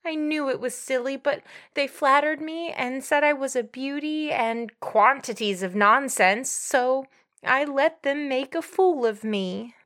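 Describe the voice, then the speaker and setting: A woman's voice: high-pitched, a little slow, a bit bright. A woman playing an earnest, bashful young woman who confides guiltily in an audiobook drama. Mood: ashamed, confiding. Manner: confessional, self-reproachful. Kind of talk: dramatized audiobook character acting.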